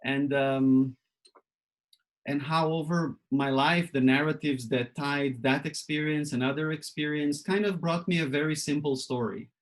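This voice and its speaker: A man from Israel giving a reflective personal talk as a workshop presenter, thoughtful and searching, pausing often and restarting his sentences. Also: medium pitch.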